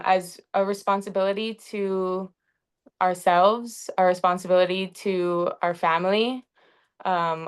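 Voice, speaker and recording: Young woman from Hawaii, low-ish, clean smooth voice; earnest panel speaker, lots of "um" fillers. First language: English. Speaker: panel facilitator. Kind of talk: reflective panel talk.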